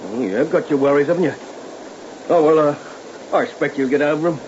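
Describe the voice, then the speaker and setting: Radio play role male actor: easygoing, colloquial vagrant, slightly high voice, dull vintage recording. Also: brisk, background noise, natural voice. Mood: sympathetic. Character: friendly, chatty down-on-his-luck tramp. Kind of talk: old-time radio drama acting.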